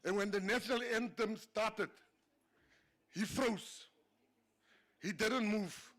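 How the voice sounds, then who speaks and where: A man's voice: high voice, slow, fairly bright tone. A South African man whose first language is Afrikaans, a government minister giving a humorous after-dinner speech, telling stories and laughing at his own jokes. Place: banquet hall.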